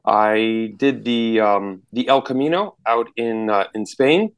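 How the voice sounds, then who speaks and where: A man talking as an entrepreneur guest on a podcast, answering in a halting way with many restarts. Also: on the low side, clean tone. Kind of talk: casual interview answers.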